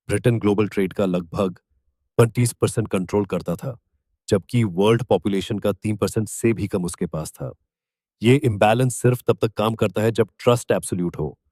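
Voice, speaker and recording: A man with an Indian accent narrates a documentary from a script. His voice sits on the low side with a dark, mellow tone. The delivery is measured and declarative, landing on short emphatic phrases, and the sound is close and dry. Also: dry recording.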